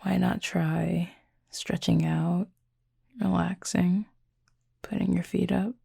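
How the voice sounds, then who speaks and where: A woman voicing a confident, flirty werewolf pack leader in an intimate audio roleplay, with a husky, breathy, gravelly voice and a teasing, sardonic drawl. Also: fairly low pitch, little pitch movement, slow, warm tone, no room sound, studio quality, full character voice. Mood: playful, coaxing.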